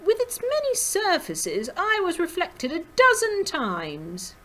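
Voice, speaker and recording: Woman as an elegant male rabbit gent, suave, theatrical, high swooping pitch, storybook, noisy recording. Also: huge pitch swings, slow, pushed and bright, heavily acted. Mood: vain, airy. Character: elegant, dashing grey-haired male rabbit gentleman.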